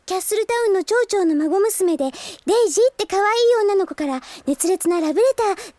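A spirited young girl, with an extremely high voice and an expressive, girlish delivery straight out of anime radio drama. The sound is dry and close. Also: a little fast, no echo. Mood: chatty, teasing. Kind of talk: Japanese anime-style audio drama acting.

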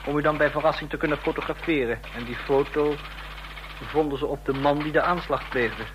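A man's voice: fairly high pitch, brisk, natural voice. A man acting in a Dutch radio play as an inquisitive, needling double agent in an office. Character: prying, insinuating office underling and double agent. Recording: noisy, humming old radio recording.

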